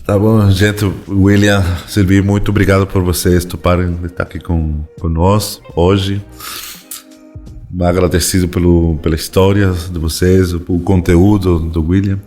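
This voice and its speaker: A man co-hosting a podcast, thanking guests and closing, a little halting. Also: deep voice, little pitch movement, a little mellow, soft background music. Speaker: podcast co-host. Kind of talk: closing remarks.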